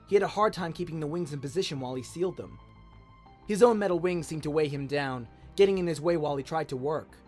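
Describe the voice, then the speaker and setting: A man's voice: on the high side, quick pace, darkish tone. A man narrating a scripted story dramatically, in the manner of an audio drama. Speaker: narrator, voice actor. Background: music and sound effects.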